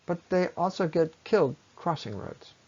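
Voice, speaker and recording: A man giving an explanatory science lecture in a measured way, pausing often and letting his phrases trail off at the ends. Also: on the high side, animated, darkish tone. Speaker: presenter on ecology. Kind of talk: explanatory lecture on environmental impact. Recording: dull, lo-fi voice recording.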